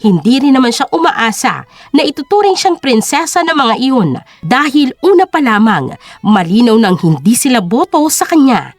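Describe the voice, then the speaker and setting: A woman's voice: fairly high pitch, big pitch swings, normal speed, dry recording, faint music behind. A woman narrator reading a scripted dramatic story.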